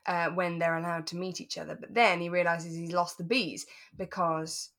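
A woman's voice: lowish voice, level tone, moderate pace, fairly bright tone. Chatty, giggly British woman. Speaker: podcast co-host. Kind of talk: relaxed chat about a radio drama.

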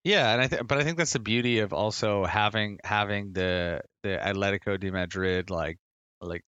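A man with a lowish, bright and very clear voice chats on a sports podcast. He is earnest and rambling, repeating phrases as he works toward his point. The sound is dry and close. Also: moderate pace, no echo, muffled recording. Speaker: podcast co-host and soccer fan. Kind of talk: casual sports podcast chat.

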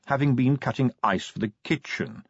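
A man voicing an unflappable formal butler, deferential, smooth and poker-faced in an audiobook story. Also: mid-range voice, very animated, normal speed, no echo, lo-fi sound, pitched above the performer's own voice. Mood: poker-faced, mild.

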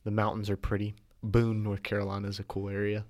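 A man's voice: fairly low pitch, completely flat delivery, fast, darkish tone. A young man co-hosting a casual sports podcast, wry and teasing in how he talks. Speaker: podcast co-host. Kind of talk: casual chat about college football.

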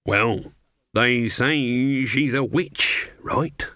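A man's voice: mid-range voice, sharp tone, dry recording, clean studio sound, heavily acted. A man doing a dim, burly woodcutter. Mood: gossipy. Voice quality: slow, blunt, tag-questions with "right?". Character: boastful burly male woodcutter, dim and gossipy.

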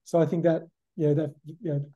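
A man's voice: on the high side, level tone, a little fast, warm tone, highs cut off. A middle-aged Australian man answering interview questions in a reflective, conversational way, often saying "you know" and "look". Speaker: guest.

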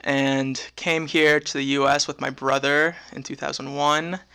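Bright, gravelly young man from Venezuela. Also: medium pitch, flat delivery, measured pace. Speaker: podcast co-host. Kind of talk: casual podcast chat.

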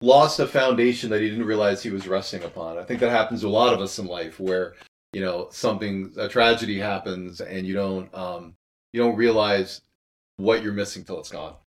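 A man being interviewed as an audio drama creator, talking in a thoughtful, halting, conversational way and circling back over his phrases. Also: lowish voice.

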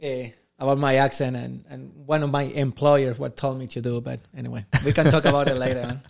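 A man from Argentina, native in Spanish, speaking English with a strong Argentinian accent in a casual podcast chat, telling stories in an animated, exclamatory way. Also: mid-range voice, brisk, creaky. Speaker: podcast guest.

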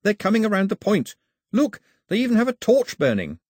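A man's voice: sits high, wildly swooping pitch, brisk, a little mellow, slightly rough, no echo, voice pushed up, exaggerated voice. A man voicing a stubborn, irreverent young island man in a storybook, dry, firm and defiant. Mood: urgent.

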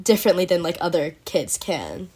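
A woman's voice: fairly low pitch, sing-song, moderate pace, mellow, slightly rough. A teenage girl hosting a casual podcast chat, talking in a chatty way with many fillers and frequent laughs. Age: teens. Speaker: podcast host.

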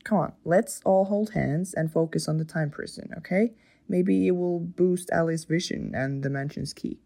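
Woman doing a bossy sarcastic teammate in an audio drama, deep for a woman, bit gravelly. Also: moderate pace, dark tone. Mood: wry, practical. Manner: exasperated, wry. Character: wry, bossy, impatient woman on a hero team.

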